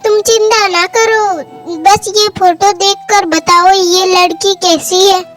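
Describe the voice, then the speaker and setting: Woman doing a squeaky old neighbor granny. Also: steady tone, takes it slowly. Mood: coaxing. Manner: chatty, coaxing. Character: meddling elderly neighbor woman, matchmaker. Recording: clear music bed under the voice.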